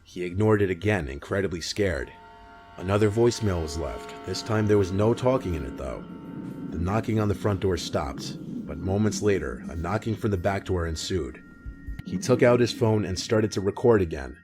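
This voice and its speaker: A man reading a scripted scary-story narration in a measured, matter-of-fact way. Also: low-pitched, expressive. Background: music bed.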